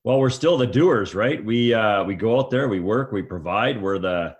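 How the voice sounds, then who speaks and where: Interview guest, male, middle-aged. Fairly deep voice, everyday wording, halting and conversational delivery. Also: animated. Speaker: guest, men's coach. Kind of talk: casual interview answers.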